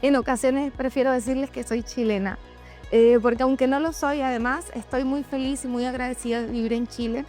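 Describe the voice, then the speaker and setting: Higher-voiced woman over music. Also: fairly even intonation, a little fast, darkish tone. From Venezuela. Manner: candid, reflective, addressing listeners directly. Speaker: host of a personal podcast or vlog. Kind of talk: personal reflective monologue to followers. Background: background music.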